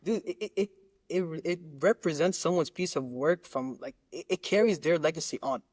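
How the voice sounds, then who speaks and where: A male podcast guest with a fairly high-pitched voice, recorded very dry. He tells stories casually and circles around his point, repeating words and halting as he looks for the right phrase. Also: a little mellow, dry recording. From Lebanon, raised in Qatar. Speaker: musician interview guest.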